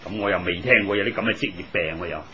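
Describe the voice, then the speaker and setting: A man's voice: sits low, very fast, highs cut off, a little hiss or hum. An actor playing a weary, grumbling, exasperated working man in a 1980s Hong Kong Cantonese radio drama. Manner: grumbling, sighing, excitable.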